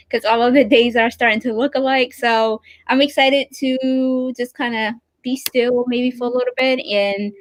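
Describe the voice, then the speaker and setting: A woman's voice: on the high side, fairly even intonation, measured pace, slight background noise. A woman co-hosting a live-streamed talk, upbeat and chatty with frequent fillers. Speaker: nonprofit outreach host. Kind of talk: live-stream interview chat.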